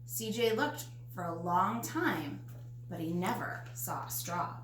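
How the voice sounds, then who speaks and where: A woman's voice: lowish voice, lively intonation, slow. A woman reading a children's picture book aloud as narrator. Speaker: storybook reader. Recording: roomy echo, faint background noise.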